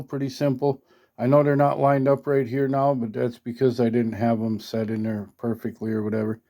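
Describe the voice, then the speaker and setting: A man's voice: mid-range voice, mellow. A man talking casually and unscripted as he demonstrates gear, in a folksy, hesitant way, often checking in with the listener. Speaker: hobbyist product demonstrator. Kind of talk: casual unscripted demo talk.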